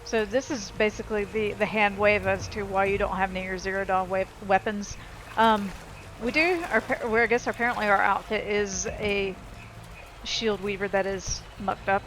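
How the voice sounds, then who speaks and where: A woman's voice: medium pitch, fairly even intonation, moderate pace, fairly bright tone, a little hiss or hum. A woman giving casual, informal and rambling gameplay commentary as she explains how a game works. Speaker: game streamer. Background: game music and sound effects.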